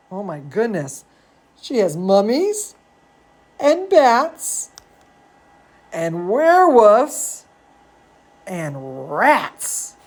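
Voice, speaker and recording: Expressive, slow-paced woman reading a bedtime story. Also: on the high side. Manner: gushing, expressive, talks to young children. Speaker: dance teacher hosting a children's story time. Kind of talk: read-aloud picture book storytelling with asides to children.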